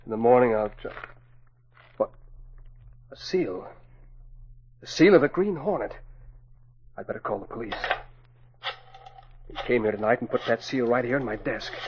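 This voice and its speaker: An actor playing an anxious, crooked American stockbroker in a 1940s radio melodrama, agitated and husky. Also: male voice, medium pitch, normal speed, long pauses, a little hiss or hum, lightly acted. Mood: uneasy muttering. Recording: muffled old radio recording.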